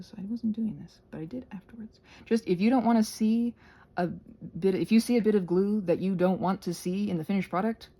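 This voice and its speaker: Gravelly, husky woman rambling through a tutorial. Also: fairly low pitch, mellow. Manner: playful, self-deprecating asides. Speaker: craft tutorial host. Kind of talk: casual rambling craft tutorial.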